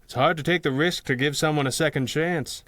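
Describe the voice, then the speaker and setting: An actor playing a calm, principled masked hero in a 1930s-style radio drama, with measured and formal diction. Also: male voice, fairly high pitch, natural voice. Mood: wry, relaxed. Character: calm, principled masked crime-fighting hero, adult man.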